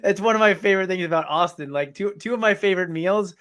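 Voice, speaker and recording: A man's voice: high-pitched, quick pace, a bit bright. A man hosting a casual interview, informal and agreeable as he asks questions. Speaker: podcast host, interviewer.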